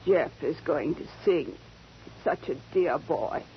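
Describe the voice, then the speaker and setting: A woman's voice: deep voice, lively intonation, slow, heavily acted. An actress playing an ailing, sentimental old society lady with a genteel, effusive manner in a 1940s radio drama. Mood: weak, grateful. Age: older. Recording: muffled, hissy 1940s radio recording.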